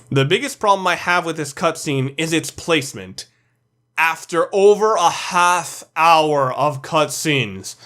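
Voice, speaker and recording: A man with a slightly high voice delivers opinionated video-review narration. He is the host, speaking informally and freely dropping curse words. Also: fairly bright tone. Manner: informal, sweary.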